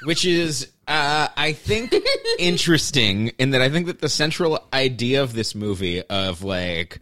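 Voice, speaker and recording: A man's voice: mid-range voice, big pitch swings, a little slow, pushed and bright, faint music behind. Wry male podcast co-host. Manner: opinionated, wry. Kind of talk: casual podcast chat.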